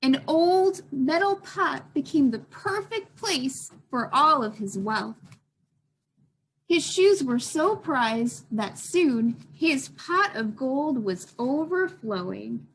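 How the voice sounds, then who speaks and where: Woman children's storytime reader, high voice, very lively intonation, expressive and child-directed, faint background noise. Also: takes it slowly, low-quality recording. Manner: addresses young listeners with questions and expressive delivery. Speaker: children's librarian reading a picture book aloud. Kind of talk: children's storytime read-aloud.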